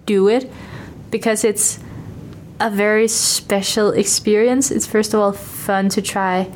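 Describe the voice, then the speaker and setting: Young Danish exchange student, woman, talkative and upbeat, mid-pitched voice with a faint hiss behind. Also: steady tone, unhurried. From Denmark. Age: young adult. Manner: chatty, upbeat, fillers of like. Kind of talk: casual interview chat.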